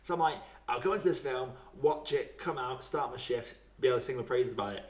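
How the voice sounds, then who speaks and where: A man with a mid-pitched voice hosts a casual film chat. He talks in a slangy, blokey way, and the room around him is clearly echoey. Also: quick pace. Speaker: podcast host. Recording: echoey, roomy sound.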